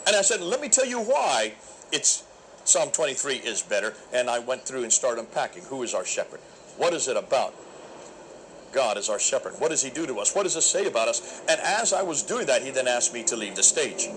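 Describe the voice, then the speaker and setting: A man recounting a debate in an animated, emphatic, preacherly way, with a naturally loud voice. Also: medium pitch, fairly quick, a little hiss or hum. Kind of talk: storytelling talk.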